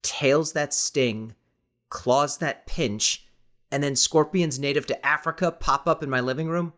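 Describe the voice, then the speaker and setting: A man playing an earnest, cautious eighteen-year-old with deliberate, questioning delivery in an audiobook. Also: on the high side, a bit bright, voice pushed up. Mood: puzzled, wary. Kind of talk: audiobook character dialogue.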